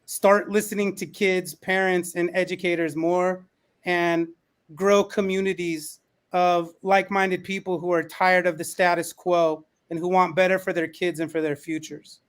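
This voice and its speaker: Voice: mid-range voice, little pitch movement, measured pace. A panel guest speaking directly and with firm opinions in a casual online discussion. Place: online video call.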